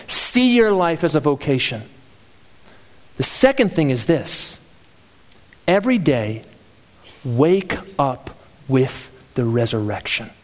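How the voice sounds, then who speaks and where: A man's voice: fairly high pitch, a little mellow. A man preaching a sermon, earnest and building emphasis through repeated phrases. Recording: long hall reverb.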